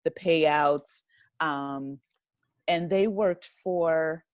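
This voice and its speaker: An American woman speaking casually as an interview guest, halting and often rephrasing, with plenty of "you know". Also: low voice, no room sound. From United States, a rural town. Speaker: interview guest, writer and director of an audio drama.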